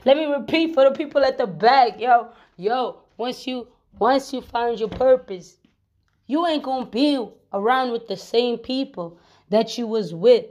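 Hoarse slangy woman rambling to camera. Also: fairly high pitch, measured pace, darkish tone. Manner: slangy, repeats fillers like "you know what I'm saying". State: hoarse from flu. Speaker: vlogger. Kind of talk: casual rambling monologue.

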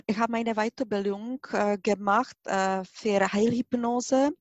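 A woman's voice: mid-range voice, fairly even intonation, unhurried, dry recording, muffled recording. A middle-aged Czech woman with a Czech accent gives a personal account as an interview guest, searching for her words with emphasis. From Czech Republic. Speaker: interview guest, hypnosis and regression therapist.

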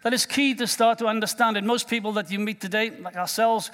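A man's voice: high voice, steady tone, fairly quick, fairly bright tone, big-room sound. A man with a Welsh accent, a native Welsh speaker, preaching a sermon as pastor in a conversational way, often restarting his words. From Wales. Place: church sanctuary.

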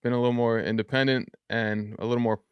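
A young man talks through his story in an easy, rambling way, dropping fillers as he goes. His voice is smooth and resonant, mid-pitched, and recorded close and dry with no room sound. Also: flat delivery, fast, dry recording, dull audio. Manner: loose, chatty, frequent fillers. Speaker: rapper, podcast guest. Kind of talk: casual interview talk.

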